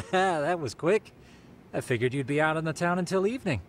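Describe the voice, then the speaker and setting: A man's voice: on the high side, expressive, moderate pace, slight background noise, close to an everyday voice. An actor playing a brainy, easygoing male sidekick, polite and wry, in an English game dub. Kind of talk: English dub video game drama acting.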